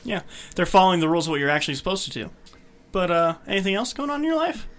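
Animated, bright-voiced man with sarcastic edge. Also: high-pitched, very fast. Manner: sarcastic, teasing. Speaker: podcast host. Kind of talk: casual group chat.

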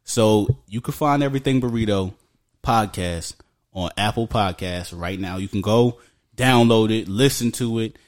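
Young man, podcast co-host, casual slangy chat, loud and joking, home studio. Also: lowish voice, normal speed. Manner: loud, joking, talks over his brother.

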